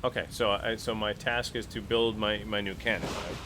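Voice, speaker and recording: A man's voice: on the low side, steady tone, moderate pace, pushed and bright, slight background noise. A man chatting casually as a tabletop game player, often checking the rules aloud with questions.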